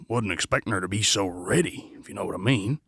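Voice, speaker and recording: A man acting as a curt western outlaw giving orders in an audio drama. His voice is husky and full of gravel, recorded very dry, and he sounds tense and in command. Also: fairly low pitch, quick pace, fairly bright tone, dry recording. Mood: terse, businesslike. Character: Whiskers, gruff tense male outlaw leading a jailbreak.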